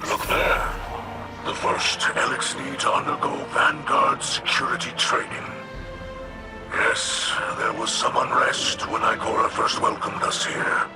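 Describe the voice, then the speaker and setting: A man voicing a wise, gentle alien leader in a video game, solemn and formal, with a breathy growl. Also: medium pitch, steady tone, loud music behind the voice, lightly acted. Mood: solemn, content. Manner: solemn, measured, formal phrasing. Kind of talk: video game cutscene acting.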